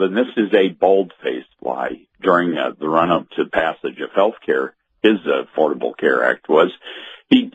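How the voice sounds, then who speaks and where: A man giving halting political commentary as a guest on talk radio, often repeating words. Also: sits low, breathy edge. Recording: muffled, narrow phone-like radio audio.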